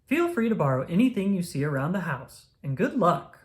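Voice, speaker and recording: A woman's voice: fairly low pitch, very animated, brisk. A woman voicing a helpful, encouraging grown man in a children's storybook, in an upbeat, friendly manner.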